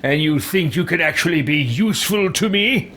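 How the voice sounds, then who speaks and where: A man voicing a haughty, power-hungry dark emperor, pompous and disdainful, while roleplaying a video game. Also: a little high, animated, moderate pace, a little hiss or hum.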